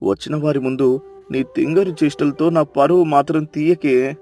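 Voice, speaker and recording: Woman voicing hot-tempered husband, lower gruff register, faint music bed. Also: steady tone, moderate pace, warm tone, close to an everyday voice. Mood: scolding. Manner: scolding, exasperated. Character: scolding middle-aged village husband, short-tempered.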